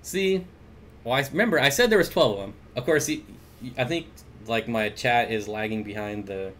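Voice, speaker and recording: A man's voice: medium pitch, a bit bright, slight background noise. A man hosting an aquarium livestream, chatting casually and reading viewer comments aloud with jokey asides. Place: home room with aquariums. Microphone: desk mic at computer.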